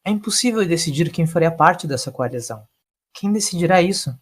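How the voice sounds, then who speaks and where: A man's voice: a little high, expressive, a little fast, pitched above the performer's own voice. A man voicing a skeptical time-traveling doctor in an audio story, insistently questioning. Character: long-haired male Doctor, skeptical questioner.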